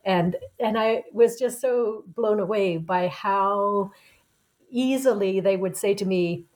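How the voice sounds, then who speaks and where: A woman in her sixties, a professor being interviewed as a guest, telling her story in a reflective, unhurried way. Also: mid-range voice, a little mellow, poor audio. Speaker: guest author, anthropology professor. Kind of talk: conversational interview answer.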